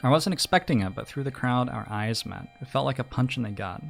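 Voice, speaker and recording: A man narrates a story game, reading the on-screen text aloud phrase by phrase. His voice sits in an ordinary male register with a noticeable fry and gravel to it. Faint music plays behind him. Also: mid-range voice, animated, fairly quick.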